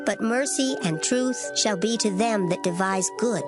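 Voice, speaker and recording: A woman giving a dramatized reading of scripture in formal old English, measured and solemn. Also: mid-range voice, natural voice. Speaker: scripture reader. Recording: loud music behind the voice. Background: music.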